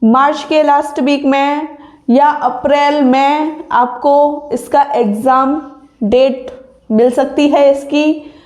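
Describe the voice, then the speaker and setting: A woman's voice: sits high, fairly even intonation, slow, a little mellow. A young woman giving informal exam advice to viewers, explaining like a coach and often checking that they follow. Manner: didactic, frequent checking tag questions. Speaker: exam-preparation coach and video host. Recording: echoey untreated room.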